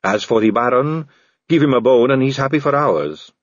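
A man voicing a sardonic female vampire aristocrat, speaking calmly with a slow drawl. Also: mid-range voice, no echo, muffled recording, voice pushed up, lightly acted. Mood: languid. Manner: calm, languid, drawling.

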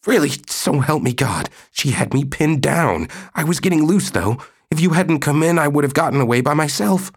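A man's voice: fairly high pitch, animated, moderate pace, hardly any pauses, husky, pitched above the performer's own voice. A man voicing a cowardly middle-aged husband who pleads in a plaintive, whining tone.

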